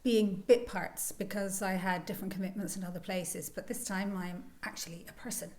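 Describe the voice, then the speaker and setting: A woman's voice: medium pitch, steady tone, normal speed, darkish tone. Enthusiastic woman actor. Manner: enthusiastic, gushing. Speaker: actor being interviewed. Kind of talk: casual interview.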